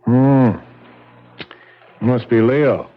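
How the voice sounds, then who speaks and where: An actor playing a skeptical police lieutenant who questions bluntly in a 1970s radio drama. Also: male voice, mid-range voice, fairly even intonation, very slow. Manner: blunt, matter-of-fact questioning. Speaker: police detective. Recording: tinny old radio broadcast.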